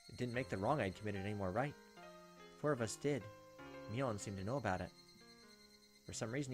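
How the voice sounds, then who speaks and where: Male gamer narrating over faint music. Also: mid-range voice. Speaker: gaming video host reading game text aloud. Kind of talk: expressive read-aloud narration with casual asides.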